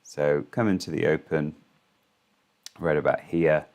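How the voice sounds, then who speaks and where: A hoarse man hosting a trading channel, walking through the morning's market in casual commentary, halting with frequent ums and restarts. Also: very deep voice, steady tone, darkish tone.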